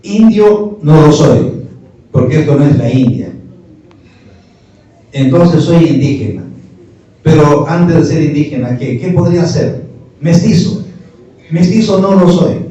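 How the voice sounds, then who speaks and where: A man's voice: a little high, takes it slowly, long pauses, warm tone. A middle-aged man from Peru, giving a didactic panel talk that argues point by point with rhetorical questions. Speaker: speaker at a history panel. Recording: distant, echoey, muffled room recording.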